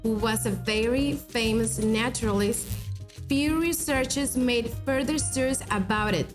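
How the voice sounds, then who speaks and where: Give me a woman, slightly high voice, explaining wildlife conservation. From Mexico. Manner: informative, fast when reciting titles. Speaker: conservation officer. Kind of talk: interview explanation. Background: background music.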